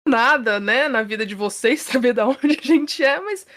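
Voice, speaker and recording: A woman's voice: a little high, animated, normal speed, fairly bright tone, slight background noise. A woman, co-hosting a podcast in a chatty manner, laughing often and checking in with listeners. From São Paulo, Brazil. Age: 30s. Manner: chatty, laughs often, frequent tag questions. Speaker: podcast co-host. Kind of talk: casual podcast chat.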